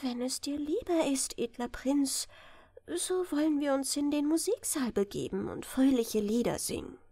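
A woman's voice: high-pitched, lively intonation, normal speed, no room sound, pitched above the performer's own voice. A woman voicing a dainty young princess in a storybook, light and delicate, with a gracious, courtly manner. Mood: gracious, polite. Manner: polite, gently courtly. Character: dainty, gracious young fairy-tale princess, sweetly wondering. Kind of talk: storybook character reading.

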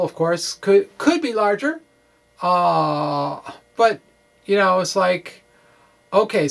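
A middle-aged man with a slightly high voice presents physics informally. His delivery is halting and quirky, with wry, playful asides. He speaks into a microphone in a small indoor room. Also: gravelly. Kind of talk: informal explanatory physics monologue to camera. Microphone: microphone on a stand in front of him.

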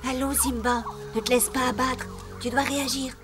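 A woman voicing an earnest, tender young animal in an animated cartoon. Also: sits high, normal speed, natural voice. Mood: earnest, consoling. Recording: music behind.

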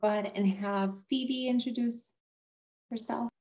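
A woman's voice: a little high, steady tone, a little slow, a little mellow. A woman admissions officer hosting an online webinar over a video call, friendly and dotted with "um" fillers.

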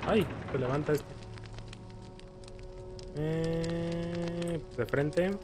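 A man's voice: a little high, steady tone, extremely slow. A man casually commenting while he plays a video game, thinking aloud and using lots of diminutives. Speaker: gaming YouTuber. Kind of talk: casual let's play commentary. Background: game music.

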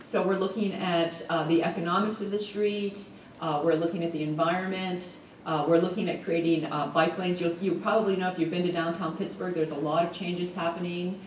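A middle-aged woman gives a conference keynote from a podium, walking through her points with lots of ums and uhs. Her voice sits a little low and is picked up from far back in a large, echoey hall. A faint hum of the room lies underneath. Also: steady tone, a little fast. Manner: explanatory, frequent ums and uhs. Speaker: keynote speaker. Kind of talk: conference presentation. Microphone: podium mic, recorded from the audience. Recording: roomy hall audio from far off.

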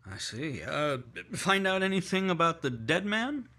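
An actor playing a nervous, scheming newspaperman in a 1950s-style radio mystery, smooth on the surface but stumbling over his words. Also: on the high side, very animated, unhurried, bright, forceful tone, no room sound, full character voice. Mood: guarded. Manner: smooth but hesitant, stammering "I, I". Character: nervous, scheming middle-aged male newspaperman. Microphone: headset.

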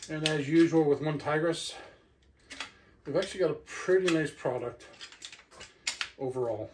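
A man's voice: fairly high pitch, normal speed. A middle-aged man giving a casual hands-on unboxing review, talking half to himself as he handles the gear in a living room. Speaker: product reviewer. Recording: clicks and clear background noise.

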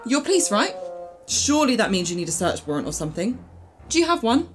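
A woman playing a sensible, exasperated young householder in an audio drama, brisk and argumentative. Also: on the high side, sing-song, faint music behind. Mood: exasperated, firm. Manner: brisk, argumentative, matter-of-fact.

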